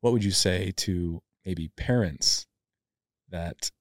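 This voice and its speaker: Male host, supportive, very low voice, dry sound. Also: slow pace, no echo. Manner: supportive, attentive listener. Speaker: podcast host. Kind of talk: interview conversation.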